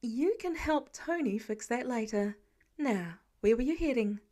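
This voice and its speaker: Woman playing adult mother, high-pitched, patient, measured, gently firm, storybook dialogue. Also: expressive.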